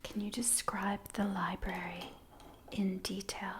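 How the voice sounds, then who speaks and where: A woman doing a soft-spoken ASMR roleplay as a biographer, gently and attentively addressing the listener as her client. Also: mid-range voice, level tone, unhurried, a little hiss or hum.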